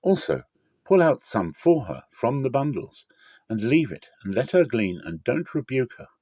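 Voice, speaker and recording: A man's voice: mid-range voice, big pitch swings, moderate pace, a little mellow, breathy edge, dry recording, pitched above the performer's own voice. A man playing a kindly, protective landowner of mature authority, solemn and courteous in a dramatic scripture reading. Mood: kindly, firm.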